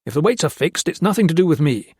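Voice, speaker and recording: A man voicing a defensive, blustering army colonel who snaps sharply and turns to shouting. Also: fairly high pitch, very animated, quick pace, breathy edge, no room sound, studio quality, pitched above the performer's own voice. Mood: panicky.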